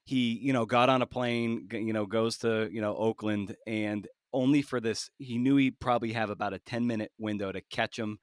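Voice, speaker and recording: Male podcast host, lowish voice, dry close sound, conversational, feels his way through long questions. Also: fairly even intonation, smooth, no room sound. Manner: halting, rambling questions. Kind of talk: conversational interview.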